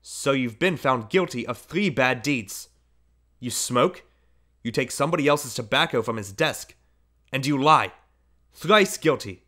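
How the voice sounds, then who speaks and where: A middle-aged father, a prosecutor by trade, scolds his son in a measured, firm voice, as a male reader plays him. He leans on his words and sounds louder than the surrounding narration. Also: mid-range voice, expressive. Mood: scolding.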